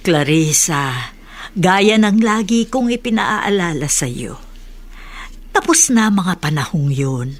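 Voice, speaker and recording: An actress playing a wise, stern but caring older woman who admonishes in a measured way, Tagalog radio drama. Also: fairly low pitch, expressive. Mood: cautioning. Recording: music behind the voice.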